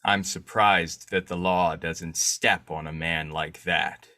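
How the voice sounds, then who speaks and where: A man playing an urbane newspaper publisher in an old-time radio drama, measured, polite and formal with a sly streak. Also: low voice, steady tone, bright, forceful tone, full character voice. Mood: skeptical.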